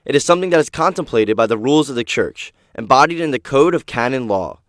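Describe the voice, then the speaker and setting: A man speaks at a medium pitch with very lively intonation. He recounts and explains in a formal register. The sound is dry and close. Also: quick pace, no echo.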